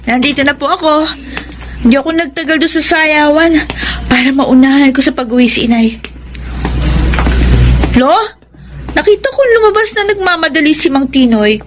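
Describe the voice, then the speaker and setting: Casting: actress as earnest, obedient Filipina granddaughter, very high girlish voice, radio drama, music bed. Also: moderate pace, long pauses, lightly acted. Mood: worried, alarmed.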